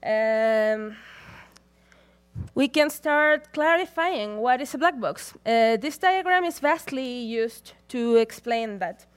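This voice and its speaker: A young woman speaks from a conference stage, her voice sitting a little higher than most. She talks in a measured, deliberate way, moving steadily through prepared remarks. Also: lively intonation. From Chile.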